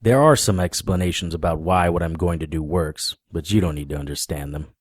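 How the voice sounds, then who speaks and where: An actor playing a wry, world-weary male paranormal investigator, narrating a first-person noir audio drama in a deadpan manner. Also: sits low, fairly quick, dry recording, studio quality. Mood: calm, reassuring.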